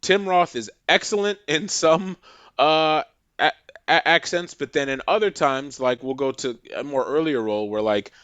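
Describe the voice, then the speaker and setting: A man's voice: on the high side, moderate pace, fairly bright tone, clean tone, no room sound, dull audio. A man from Massachusetts, a podcast guest, rambling with many restarts in casual chat.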